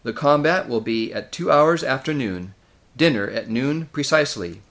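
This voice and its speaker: Storybook high king, read by a man: mid-range, solemn, kindly, authoritative. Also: animated, a bit bright. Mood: grave, kindly. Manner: grave, measured. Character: young high king, grave, courteous, decisive.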